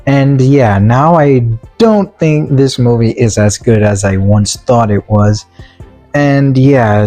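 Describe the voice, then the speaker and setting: A man giving a casual, opinionated movie review as a video commentator, in a halting, repetitive, slangy way. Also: medium pitch, darkish tone.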